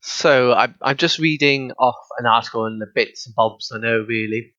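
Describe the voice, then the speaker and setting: Young man, bright voice, hesitant giggly chat, dry slightly dull mic. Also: medium pitch, normal speed, dry recording. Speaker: podcast co-host. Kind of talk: casual chat.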